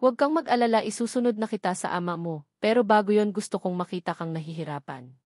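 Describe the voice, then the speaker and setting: A woman's voice: medium pitch, fast, a little mellow, no echo, natural voice. Audiobook role: vengeful husband read by a woman, cruel, gloating, emphatic threats, close dry sound. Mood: cold taunting.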